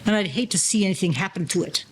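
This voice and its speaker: A man's voice: high-pitched, fast talker, a little hiss or hum, close to an everyday voice, low-quality recording. A man playing a wary old-time gangster in an audio play, speaking in formal, slangy phrases. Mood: businesslike. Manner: slangy, formal present-tense gangster talk.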